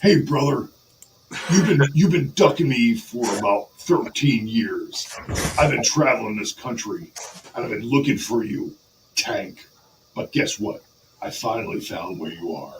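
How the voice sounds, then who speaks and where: A man playing a big, menacing pro wrestler who delivers a dramatic, halting challenge promo. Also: medium pitch, lively intonation, distant mic, a little hiss or hum, close to an everyday voice, poor audio. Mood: menacing, challenging. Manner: dramatic, halting, calls opponent brother.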